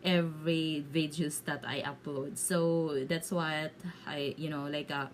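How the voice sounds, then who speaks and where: Filipina woman w bisaya accent, kinda low voice, casual vlog chat, stops and searches for english words, bit of noise. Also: little pitch movement, unhurried. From Philippines. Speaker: video blogger. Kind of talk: casual storytelling to viewers.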